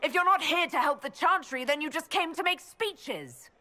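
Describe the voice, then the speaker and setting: A woman's voice: sits high, expressive, normal speed, pushed and bright. An actress playing a bold young woman envoy in a fantasy game, speaking frankly and articulately. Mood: challenging. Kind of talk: fantasy game cutscene dialogue.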